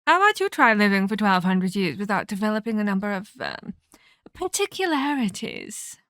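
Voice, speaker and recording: A woman's voice: mid-range voice, huge pitch swings, moderate pace, a bit bright, no room sound, studio quality. A woman playing a sadistic, scheming, centuries-old female vampire in an ASMR roleplay, haughty and taunting, with formal, scolding phrasing. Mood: gleeful taunting.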